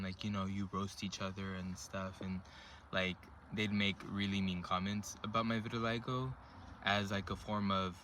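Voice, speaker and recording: A man's voice: fairly low pitch, a little hiss or hum. A man in his twenties casually telling personal stories to camera on his vlog, rambling with lots of fillers. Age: 20s. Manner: relaxed, rambling, filler-heavy talk. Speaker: vlogger.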